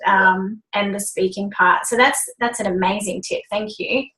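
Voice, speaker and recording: A woman's voice: mid-range voice, fairly even intonation, normal speed, pushed and bright, reverberant, a little hiss or hum, rough recording. A woman with a youthful-sounding voice hosting a group video call, laughing often and encouraging the others. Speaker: host. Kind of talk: casual group video chat.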